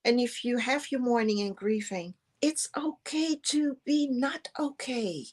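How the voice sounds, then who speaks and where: A woman's voice: fairly high pitch, unhurried. A woman from the Netherlands with a Dutch accent, giving earnest, rambling spiritual encouragement and repeating words for emphasis. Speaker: show co-host. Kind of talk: conversational spiritual encouragement to viewers.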